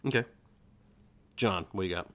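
A man's voice: sits low, normal speed, a bit bright. A man hosting a podcast, chatting casually over drinks with his co-hosts. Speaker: podcast host.

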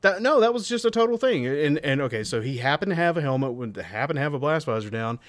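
A man's voice: on the high side, lively intonation, fast talker. A man co-hosting a podcast, talking casually with a dramatic, mock-indignant, emphatic manner. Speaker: podcast co-host. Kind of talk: casual group chat.

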